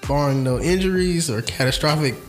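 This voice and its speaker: A man's voice: on the high side, a little fast, over music. A young man co-hosting a casual sports chat show, talking in a laid-back, slangy, rambling way. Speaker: podcast co-host.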